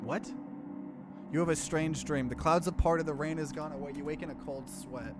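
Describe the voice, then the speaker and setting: A man doing a let's play, reading game text aloud and breaking in with animated, bewildered reactions. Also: a little high, moderate pace, over music.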